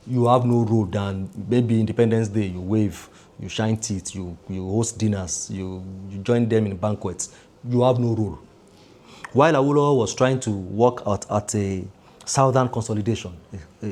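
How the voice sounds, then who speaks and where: Nigerian man with a low, dark, husky voice. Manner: deliberate, emphatic lists with repeated phrases. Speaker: political commentator. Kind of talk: measured political commentary.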